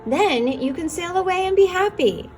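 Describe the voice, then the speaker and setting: A woman voicing a gentle, loving, self-giving old female tree in a tender, expressive storybook manner. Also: very high-pitched, normal speed, soft background music, voice pushed up. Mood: generous.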